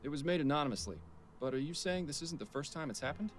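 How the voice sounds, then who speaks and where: A man's voice: a little high, lively intonation, quick pace, faint music behind, close to an everyday voice. A man playing a dry, businesslike police detective in a voiced adventure game, asking things politely and to the point.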